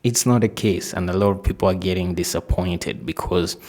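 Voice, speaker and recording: A man's voice: low-pitched, brisk, a little mellow. A man giving casual news commentary as a video host, talking straight to viewers with rhetorical questions. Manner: conversational, addresses viewers directly with rhetorical questions.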